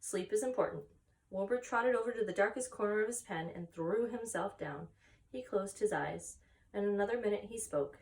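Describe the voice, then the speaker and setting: A woman's voice: mid-range voice, moderate pace. Woman reading a children's story, slightly distant. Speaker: story time reader.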